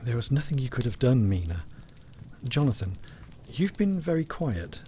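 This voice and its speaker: A man playing a consoling, observant gentleman doctor in a gothic horror drama, speaking directly. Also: mid-range voice, sing-song, moderate pace, a little hiss or hum.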